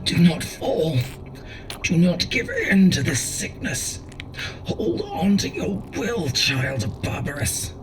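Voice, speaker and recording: A performer in a dramatic ASMR roleplay playing a grave, defiant warlord battling sickness, with halting, theatrical delivery. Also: medium pitch, huge pitch swings, recorded from a distance, background noise. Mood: anguished defiance.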